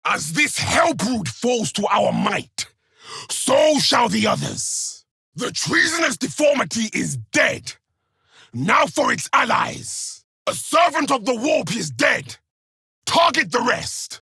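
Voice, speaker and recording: A zealous armored warrior from a combat video game, spitting contempt at fallen foes. The voice is very rough and husky, pitched fairly high, with big swings between snarl and battle cry. The delivery is forceful and declamatory. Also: male voice, big pitch swings, takes it slowly, no room sound, clean studio sound, full character voice. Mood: wrathful triumph. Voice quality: raspy, gravelly. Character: battle-hardened male Space Marine, contemptuous, zealous, wrathful warrior.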